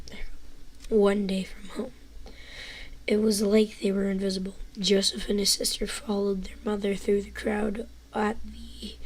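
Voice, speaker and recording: A teenage girl with a hoarse, worn-out voice reading a novel aloud live, whispering and stumbling over words as she goes. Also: medium pitch, a little hiss or hum, low-quality recording. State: hoarse, lost voice.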